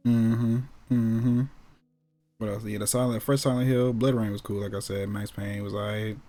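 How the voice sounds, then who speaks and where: A man's voice: lowish voice, flat delivery, moderate pace, mellow. An American man co-hosting a casual talk about movies and anime, slangy and opinionated, often repeating himself for emphasis. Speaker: podcast co-host.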